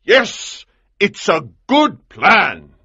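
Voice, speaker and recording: A man voicing a bold, commanding army colonel in an audiobook, with a husky voice and an urgent, emphatic delivery. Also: a little high, sing-song, slow, fairly bright tone, lo-fi sound, slight background noise, voice pushed up, heavily acted. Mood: excited. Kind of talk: audiobook character dialogue.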